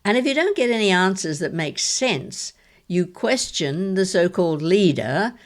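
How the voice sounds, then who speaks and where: A woman acts out an elderly grandmother with a lowish voice in an audio drama. She is shrewd and wry, weighing her words reflectively as she talks things over with family. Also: very animated, measured pace. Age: older.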